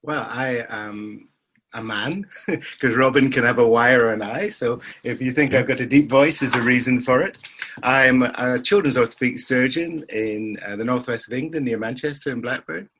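Older guy with a husky voice, medium pitch, chatting with a dry joke here and there. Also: moderate pace, fairly bright tone. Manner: dry humour, anecdotal. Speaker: surgeon and podcast guest. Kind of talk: conversational interview.

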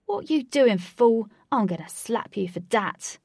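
A woman voicing a hot-headed young man who talks loudly in brash street slang. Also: medium pitch, a little slow, dry recording, studio quality. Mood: angry.

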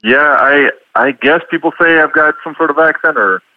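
A middle-aged man from Arizona calling in to a show and telling a long story in an unhurried, rambling way. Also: fairly high pitch, no echo. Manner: rambling, unhurried, many ums. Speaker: caller. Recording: tinny phone line.

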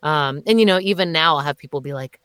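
A deep-voiced woman in her thirties talks casually as an interview guest, laughing and doubling back on her words. Her voice sits low and close, with a dry, dead sound around it. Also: quick pace, a bit bright, clean tone, dry recording. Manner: chatty, laughing, many fillers and restarts. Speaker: voice actor guest. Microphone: remote call.

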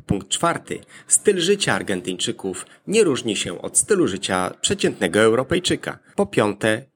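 A man presenting a scripted explainer in a deliberate, point-by-point manner. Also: medium pitch, fairly bright tone, husky.

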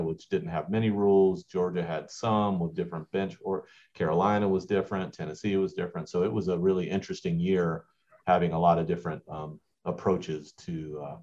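A man's voice: low voice, a little mellow. A man, a school basketball coach, answering interview questions in a rambling way with many restarts. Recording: dull lo-fi audio.

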